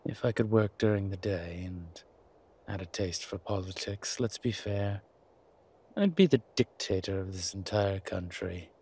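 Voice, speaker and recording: Man playing a slow, low-voiced, suave ancient vampire. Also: warm tone, heavily acted. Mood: playfully boastful. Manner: measured, wry, theatrical, with deliberate pauses. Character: centuries-old male vampire, suave, wry, protective, comfort-loving. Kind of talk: scripted roleplay audio drama addressed to the listener. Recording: clear background ambience noise.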